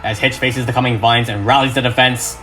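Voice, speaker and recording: A man's voice: mid-range voice, fairly even intonation, fairly quick, bright, forceful tone, soft background music, low-quality recording. A man reading a fan audio drama script as a narrator, with emphatic, theatrical delivery.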